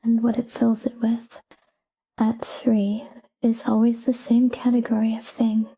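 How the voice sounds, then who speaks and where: A woman guiding a sleep meditation in a calm, hushed, breathy whisper, soothing and soft. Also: on the high side, measured pace, poor audio.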